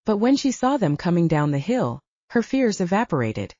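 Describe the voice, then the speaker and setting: A woman's voice: fairly low pitch, big pitch swings, moderate pace, warm tone, no echo, highs cut off. A woman reading fiction aloud as a scripted audiobook narration.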